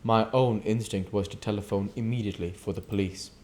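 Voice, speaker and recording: A man reading a classic novel aloud as an amateur audiobook reader, with deliberate, emphatic, theatrical delivery. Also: on the low side, steady tone, darkish tone.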